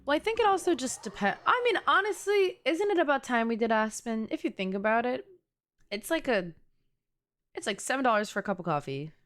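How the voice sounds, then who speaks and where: A woman's voice: on the high side, very animated, fairly quick, a bit bright. A young woman chatting casually as she streams a game, rambling through conversational asides. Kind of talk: casual chatty livestream gaming commentary. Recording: clear background noise.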